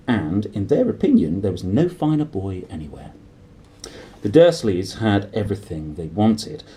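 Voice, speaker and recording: A man's voice: fairly low pitch, a little hiss or hum. A man hosting a read-aloud of a children's novel, speaking expressively with dramatic emphasis, as if straight to the listener. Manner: animated, dramatic emphasis, addresses the listener directly. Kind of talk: expressive storybook reading with chatty intro.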